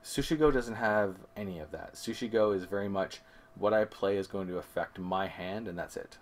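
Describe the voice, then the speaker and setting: A man's voice: lowish voice, moderate pace. A man co-hosting a board game review, explaining things methodically in casual conversation and correcting himself as he goes. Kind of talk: casual comparison chat.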